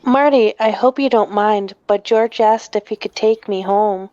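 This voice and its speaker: A woman's voice: mid-range voice, a little slow, warm tone, no room sound, muffled recording, lightly acted. A woman reading a sweet, smitten fifties teenage girl at a film script table read. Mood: shy, fond.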